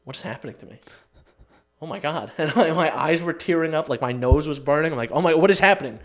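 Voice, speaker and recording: A man's voice: fairly high pitch, expressive, fast. A man in his early twenties co-hosting a podcast, joking casually with a friend in profane, slangy talk. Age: early 20s. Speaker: podcast co-host.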